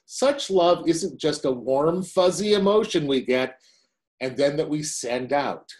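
An older man in his sixties gives a sermon from his desk into a webcam. His pitch moves up and down with lively, animated swings. He keeps the tone conversational and drops in dry, wry asides. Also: a little slow. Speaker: pastor.

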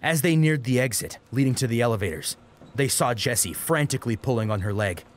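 A man's voice: medium pitch, lively intonation, brisk, a little hiss or hum. A man reading a dramatic action story as an audiobook narrator. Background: music under the voice.

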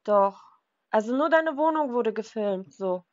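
Woman chatting on phone, bit high pitched, hesitant, plain delivery, dull lofi sound. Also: lively intonation, measured pace. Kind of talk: casual phone chat.

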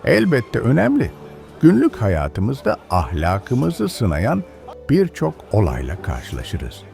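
A man's voice: mid-range voice, huge pitch swings, slow, creaky, soft background music, heavily acted. An actor playing a kind, pious old village grandfather who teaches patiently in a children's cartoon. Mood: patient, instructive. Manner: instructive, gentle moralizing. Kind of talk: educational children's cartoon dialogue.

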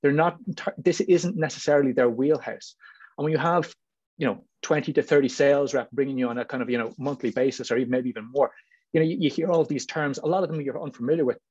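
Irish guy on a video panel, husky voice, talks fast, chatty. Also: mid-range voice, darkish tone. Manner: talkative, hedging with frequent "you know what I mean". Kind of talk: informal panel discussion. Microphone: video call. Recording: muffled, roomy video call audio.

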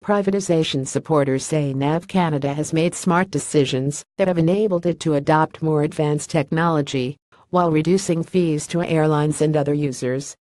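Synthetic news narrator: mid pitch, dry close sound, steady uninflected read. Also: moderate pace, dry recording. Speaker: news reader. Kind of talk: scripted news reading.

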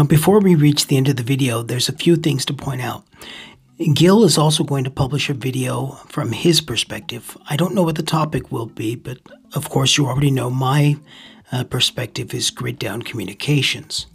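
A man narrating an explanatory screen-recording video as host, in a measured, deliberate manner with a husky, gravelly voice. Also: mid-range voice. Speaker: ham radio video host.

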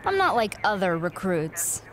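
A woman's voice: medium pitch, very animated, measured pace. A woman playing a blunt, self-assured young trainee wrestler in a video game, with a dry, understated delivery. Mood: cool, confident. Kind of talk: naturalistic video game cutscene acting.

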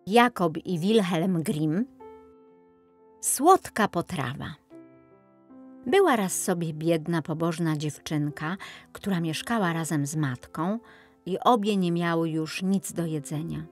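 A woman narrating a scripted children's fairy tale in an expressive, measured storytelling manner. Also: lowish voice, faint music behind. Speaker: audiobook narrator.

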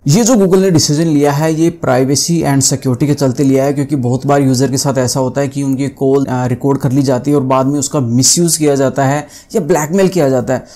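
A man's voice: mid-range voice, dark tone. A man in his thirties with an Indian accent, hosting a casual tutorial in a conversational, explanatory way. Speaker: tech video host. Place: small indoor desk studio.

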